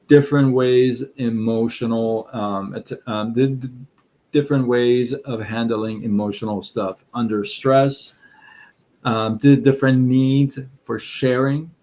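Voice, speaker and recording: A middle-aged man from Iran with a Persian accent, hosting a casual live stream of advice talk in a relaxed, rambling way full of fillers and restarts. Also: mid-range voice, slow pace.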